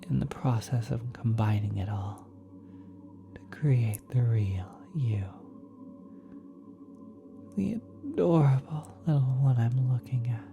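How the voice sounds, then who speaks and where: A woman voicing a kind, doting celestial parent in an ASMR roleplay, soft and breathy with gentle, tender cooing. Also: extremely low pitch, full character voice. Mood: tender, explaining. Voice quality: soft, breathy, partly whispered. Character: kind, doting ethereal cosmic being, parent of a mortal.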